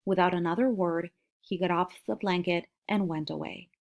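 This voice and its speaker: A woman's voice: lowish voice, fairly even intonation, normal speed, creaky. A woman reading a children's novel aloud as a storybook narrator.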